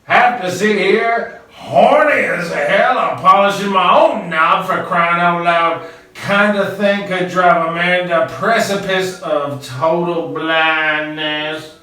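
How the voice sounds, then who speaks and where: A man voicing a loud, complaining old-West prisoner who shouts in a drawl. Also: high voice, fairly even intonation, slow, pitched above the performer's own voice, full character voice. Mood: whining. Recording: roomy echo, distant mic, faint guitar music.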